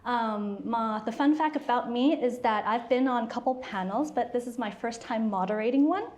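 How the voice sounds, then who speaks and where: A woman's voice: a little high, normal speed, darkish tone. A woman moderating a live panel discussion, chatty and laughing often, with a self-deprecating manner. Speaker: panel moderator and studio founder.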